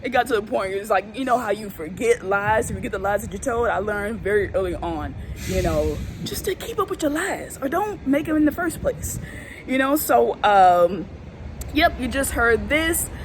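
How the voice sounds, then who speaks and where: A woman's voice: a little high, animated, moderate pace. Chatty young woman with background noise. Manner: chatty, laughing, acts out childhood voices. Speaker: vlogger telling personal stories. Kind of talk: casual storytelling. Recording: clear background noise, vehicle ambience.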